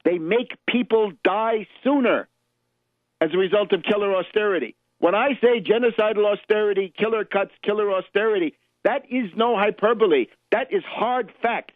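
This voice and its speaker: A man's voice: high-pitched, normal speed, dry recording. Emphatic American man on tinny radio line. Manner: emphatic, oratorical, urgent exhortation. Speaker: political radio host. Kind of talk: opinionated radio monologue. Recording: tinny band-limited radio line, dry.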